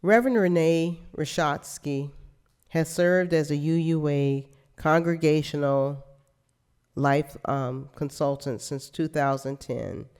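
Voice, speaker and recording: A woman who serves as worship leader, reading aloud at a church service and introducing a speaker, measured and with a light wry aside. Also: deep voice, a little mellow, clean tone.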